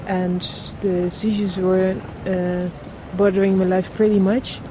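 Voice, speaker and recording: A woman, a project founder speaking earnestly in a documentary interview and pausing often to find words. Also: mid-range voice, level tone. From Netherlands. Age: 20s. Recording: outdoor street noise with passing traffic.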